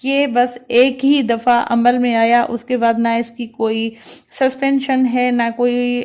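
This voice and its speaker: A woman lecturing in a halting, deliberate way, alternating between reading and explaining, mixing in English terms. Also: on the high side, flat delivery, darkish tone. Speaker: law lecturer.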